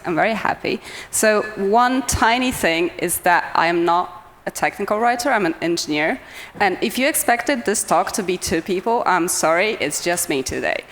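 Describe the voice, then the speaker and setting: A woman's voice: mid-range voice, animated, moderate pace, a bit bright, a little hiss or hum. A young woman with a Polish accent talks to a conference audience over a microphone. She sounds cheerful and self-deprecating and laughs often. Her voice carries a long reverb from the hall. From Poland. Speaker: conference speaker. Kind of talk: conference talk.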